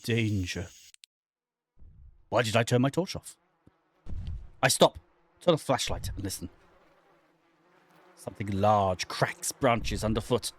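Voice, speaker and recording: A man's voice: mid-range voice, lightly acted. Man acting out a haunted, brooding horror-game fugitive. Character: haunted, guilt-ridden man lost in dark woods. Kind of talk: dramatic first-person reading of horror visual novel text.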